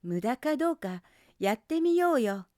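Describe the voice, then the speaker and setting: A woman as an eager, kind young boy rabbit, high-voiced. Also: sing-song, normal speed, dry recording, clean studio sound. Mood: earnest, encouraging. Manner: bright, coaxing, emphatic. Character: kind, earnest young male rabbit encouraging his friend.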